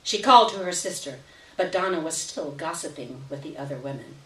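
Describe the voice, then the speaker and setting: A woman's voice: low voice, animated, a little fast. A woman narrating a short story as a live literary reading on stage, picked up in an indoor venue. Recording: roomy echo, distant mic at live event.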